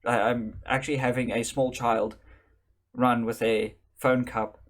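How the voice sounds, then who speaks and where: A man's voice: mid-range voice, flat delivery, unhurried. A man from South Africa doing casual live-stream commentary into a desk microphone, rambling and correcting himself, with wry asides.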